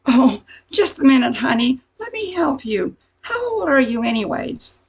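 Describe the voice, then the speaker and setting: Woman as slow, gravelly, sassy diner waitress. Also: high-pitched, very animated, full character voice. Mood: sweetly fussing. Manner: tough-talking wisecracks with slangy grammar. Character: brash, touchy diner waitress, sassy and easily offended. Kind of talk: radio comedy play acting.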